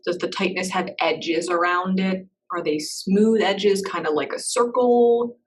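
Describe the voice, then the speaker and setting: A woman leading a guided meditation in a soft, slow, reassuring way, with gentle pauses between phrases. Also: medium pitch, steady tone, far from the mic.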